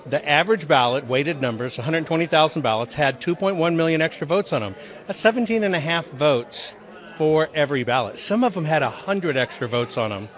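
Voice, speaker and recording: Male interview guest, slightly high register, conversational delivery with earnest, emphatic explanations. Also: lively intonation, a little fast. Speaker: interview guest, audit presenter.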